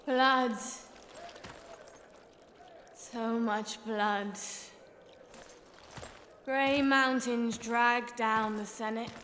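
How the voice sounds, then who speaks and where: An actress playing an eerie oracle in a video game, prophesying in an ominous, trance-like, portentous way. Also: female voice, a little high, steady tone, very slow, pauses often, sharp tone, a little hiss or hum, exaggerated voice. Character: eerie female oracle foretelling bloody doom. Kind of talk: dramatic video game acting.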